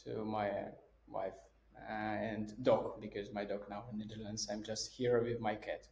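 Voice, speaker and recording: A man's voice: on the low side, level tone, vocal fry, darkish tone. Casual russian-accented guy, stops and restarts a lot, lots of uhs, echoey room with a faint hum behind. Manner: halting, full of fillers and restarts. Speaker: guest. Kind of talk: casual conversation. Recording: roomy room with faint background noise.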